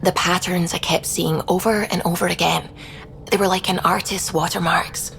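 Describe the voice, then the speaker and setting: A woman playing a thoughtful, devout scientist on a space expedition, explaining things in a measured, reflective way in video game dialogue. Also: on the low side, fairly even intonation, gravelly, a bit bright, faint music behind, rough recording. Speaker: science officer character.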